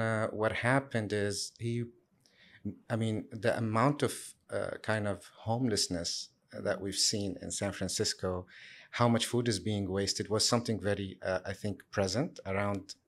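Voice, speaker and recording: A man in his thirties from Syria, answering interview questions in a relaxed way with many fillers and restarts. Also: fairly low pitch. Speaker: guest, sustainability lead.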